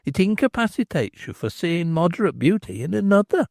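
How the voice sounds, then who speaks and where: A sly innkeeper who flatters and teases, his voice lifted a little and lively, as a male reader puts him on. He talks smoothly and playfully. The recording is dry and close. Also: high-pitched, fairly quick, no room sound, pitched above the performer's own voice, exaggerated voice. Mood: flattering. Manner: smooth-talking, teasing.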